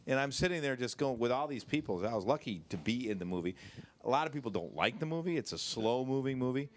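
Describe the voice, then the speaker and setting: A man chats in a loose, comedic way, his pitch swinging around in a lively manner. He is blunt and profane, often at his own expense. The recording is clearly noisy, with clicks. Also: fast talker. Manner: blunt, profane, self-deprecating. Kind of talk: casual comedic banter.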